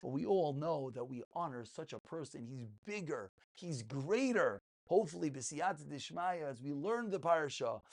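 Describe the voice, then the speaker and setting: A man's voice: a little high, animated, moderate pace, creaky, few pauses, darkish tone. A man giving a passionate, informal religious lesson as a rabbi, emphatic, full of rhetorical questions and repeated phrases.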